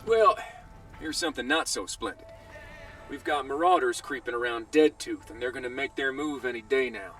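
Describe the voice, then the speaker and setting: A folksy, upbeat male dispatcher briefing over the radio in a video game. His voice swings widely in pitch. It sounds a little distant, with faint music behind. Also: normal speed, creaky. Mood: briskly warning. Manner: breezy, animated, briefing. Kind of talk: animated video game acting.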